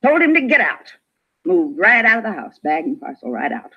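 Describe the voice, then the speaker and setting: A raspy, creaky, nosy neighbor woman. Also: lowish voice, sing-song, normal speed, heavily acted. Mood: spiteful. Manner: huffy, argumentative, clipped emphatic phrasing. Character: nosy, prickly, self-important gossiping female neighbor. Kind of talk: 1950s radio drama acting. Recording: dull vintage radio recording.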